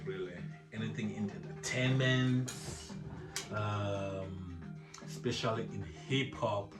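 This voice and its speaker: A man from Zimbabwe talks loosely about music on his own channel, laughing often and dropping "man" into nearly every thought. His voice sits fairly low. The sound is roomy and echoey, with music clearly audible behind him. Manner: laid-back, rambling, laughs often, says "man" a lot. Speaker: music vlog host. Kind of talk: casual chat.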